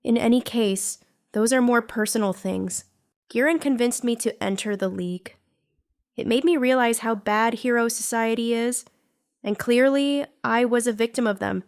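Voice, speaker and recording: A woman's voice: fairly high pitch, normal speed, a little mellow, no echo, studio quality, close to an everyday voice. A woman voicing a bitter young female villain recruit, narrating her painful past in a scripted comic dub. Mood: somber, hurt. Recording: music under the voice. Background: background music.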